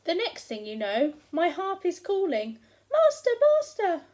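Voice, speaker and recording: Woman reading as a slow-talking, grumbling storybook giant. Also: very high-pitched, huge pitch swings, a little mellow, voice pushed up, heavily acted. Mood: rueful. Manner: complaining, sarcastic, emphatic. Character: grumbling, self-pitying, hungry giant husband, huge and aggrieved. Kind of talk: first-person storybook narration read aloud.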